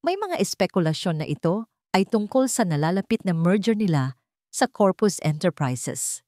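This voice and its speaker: Woman narrator, Filipino accent, lower-pitched voice, dry close sound, dramatic scripted storytelling. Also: no room sound.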